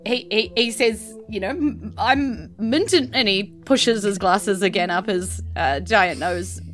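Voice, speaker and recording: A New Zealand woman runs a tabletop role-playing game in a casual narrating style. Her pitch sits in the middle, with soft music underneath. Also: measured pace. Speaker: game master.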